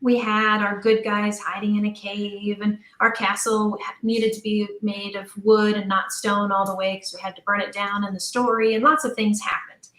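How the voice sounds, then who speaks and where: A middle-aged woman speaks as an interview guest in a roomy, echoing space. Her voice sits at a medium pitch. She tells her story casually and chattily, often slipping into quoted dialogue. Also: flat delivery, normal speed, hardly any pauses, fairly bright tone. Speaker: interview guest, audio drama creator.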